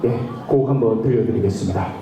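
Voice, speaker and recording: A man's voice: on the low side, fast, far from the mic, very noisy. A male trot singer chatting and bantering with a large live audience between songs, full of fillers. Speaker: trot singer performing on stage. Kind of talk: stage patter with a live audience. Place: large concert hall stage before about two thousand people. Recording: echoey hall with backing music.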